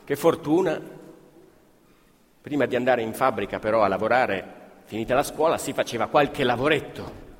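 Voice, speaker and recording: A man's voice: medium pitch, moderate pace, pauses often. A man narrating as an actor on stage, with colloquial, emphatic theatrical storytelling. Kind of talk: dramatic theatrical storytelling of oral history. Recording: hall reverb, live stage performance.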